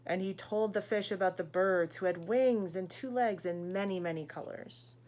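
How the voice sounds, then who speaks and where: A woman teacher reading a picture book aloud expressively, pausing to ask young listeners questions and explain things. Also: mid-range voice, darkish tone.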